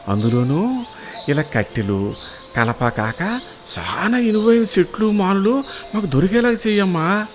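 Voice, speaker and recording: A man's voice: sits high, slight background noise. A man playing a greedy, rash village woodcutter in a children's moral story, talking in a wheedling, colloquial rural Telugu accent. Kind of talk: animated moral story acting.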